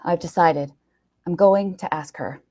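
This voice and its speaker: A woman's voice: lowish voice, unhurried, dark tone. A woman voicing a nervous, lovestruck young man in a story, earnest and gushing. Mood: eager, resolved. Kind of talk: storybook character dialogue.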